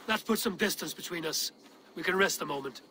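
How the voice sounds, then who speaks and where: An actor playing a resolute Viking warrior leader in a video game cutscene, speaking firmly and plainly. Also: male voice, fairly high pitch, a little fast, sharp tone, slight background noise. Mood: resolute, planning. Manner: firm, decisive, plain-spoken.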